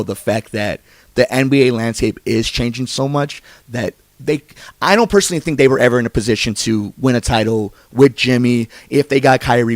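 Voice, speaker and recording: A man's voice: medium pitch, dry recording. An American man hosting a conversational sports podcast interview, talking in long, winding questions.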